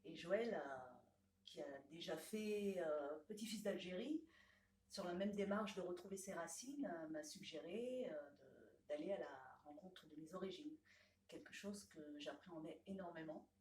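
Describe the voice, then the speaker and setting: Soft, lower-voiced woman, echoey room. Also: lively intonation, unhurried, recorded from a distance, slight background noise. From Laos. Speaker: painter and photographer. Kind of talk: casual radio interview.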